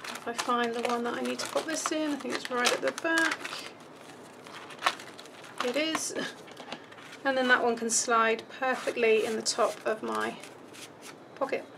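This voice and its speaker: A woman's voice: on the high side, steady tone, unhurried, gravelly, long pauses, heavy background noise. A British woman giving a casual, chatty step-by-step craft tutorial, thinking aloud as she goes, her voice starting to give out. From United Kingdom. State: starting to lose voice. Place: indoors at a craft table.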